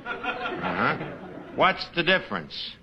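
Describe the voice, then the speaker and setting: A man's voice: fairly high pitch, huge pitch swings, takes it slowly, a crowd in the background, full character voice. An actor playing a brash, wisecracking tavern manager in a 1950s radio comedy, fumbling his big words. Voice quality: brash, malaprop-prone. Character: wisecracking male tavern manager, fast-talking, bossy. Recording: hissy old radio recording.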